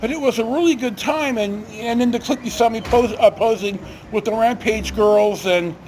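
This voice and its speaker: An older, retired man telling stories casually to camera in a halting, rambling, self-correcting way. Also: extremely high pitch, darkish tone, slight background noise, poor audio. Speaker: video storyteller.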